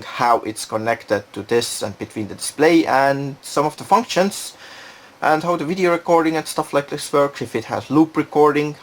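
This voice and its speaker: A man giving a casual, unscripted product demonstration, thinking aloud and hesitating as he goes. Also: mid-range voice. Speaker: product reviewer.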